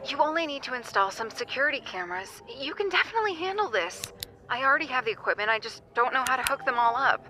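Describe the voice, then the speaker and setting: A woman's voice: high voice, animated, quick pace, sharp tone, slight background noise. A woman playing a lonely doctor in an audio drama, speaking hesitantly and pleadingly. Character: lonely, pleading woman doctor asking old friend for help.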